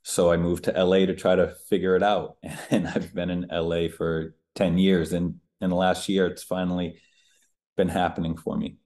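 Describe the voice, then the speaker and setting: A man's voice: low voice, little pitch movement, normal speed, a little mellow. A man in his thirties from the Northeastern United States, a public speaker talking candidly as a guest about his life in a casual interview. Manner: candid, conversational, with fillers.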